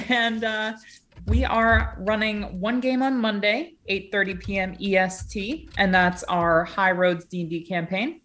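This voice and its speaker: A woman's voice: medium pitch, fairly bright tone, a little hiss or hum. Upbeat chatty woman. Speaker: game master. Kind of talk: casual stream chat.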